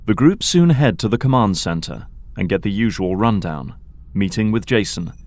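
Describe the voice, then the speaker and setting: A man's voice: fairly low pitch, expressive, normal speed, darkish tone. A man narrating a scripted audio drama.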